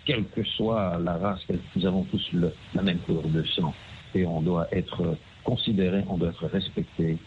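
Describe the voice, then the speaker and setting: An older man speaking over a tinny phone line, with faint hiss behind him. His voice sits very low, and he talks haltingly, filling pauses and repeating words as he goes. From Belgium. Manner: hesitant, many fillers and repeated words. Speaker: interviewee. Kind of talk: phone interview. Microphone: telephone.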